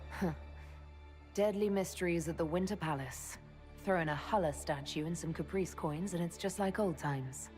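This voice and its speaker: A woman's voice: fairly low pitch, normal speed, a little mellow, lightly acted. An actress voicing a confident woman mage who leads an order, speaking with dry wit in a game cutscene, music behind. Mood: wry. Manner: wry, dry humor. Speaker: player character, the Inquisitor. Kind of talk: video game cutscene dialogue.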